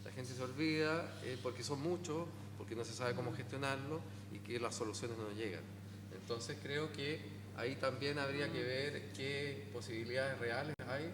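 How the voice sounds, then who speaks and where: A man speaking as the invited lecturer, talking with rhetorical asides and tags that check in with the audience. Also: medium pitch. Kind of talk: lecture discussion. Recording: echoey hall with humming noise.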